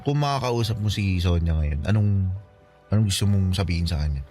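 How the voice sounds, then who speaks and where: A man's voice: sits low, animated, a little fast, a little mellow. A man acting a remorseful young friend in a radio drama, speaking hesitantly and apologetically, over background music. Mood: apologetic, hesitant.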